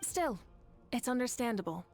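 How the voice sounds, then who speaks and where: An actress voicing a composed, confident leader of a nation in a video game, measured and reassuring. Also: female voice, a little high, sing-song, a little mellow. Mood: solemn. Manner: measured, assured, formal. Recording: faint music behind the voice.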